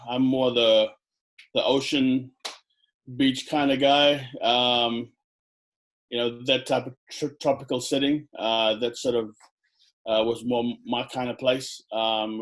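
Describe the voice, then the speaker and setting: A middle-aged man from Fiji who grew up in New Zealand, answering interview questions slowly with many ums, over a video call headset. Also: mid-range voice, poor audio. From Fiji, raised in New Zealand. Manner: hesitant, many ums and uhs. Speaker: chiropractor guest. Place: indoor room on video call.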